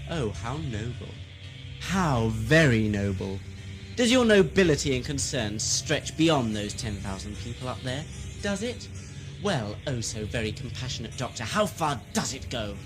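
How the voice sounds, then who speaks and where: A man's voice: medium pitch, big pitch swings, unhurried, low-quality recording. A man playing a grandiose, greedy, gleefully murderous villain lord in an audio sci-fi drama, with a theatrical, mocking, mock-courteous delivery. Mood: sardonic, challenging. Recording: music underneath.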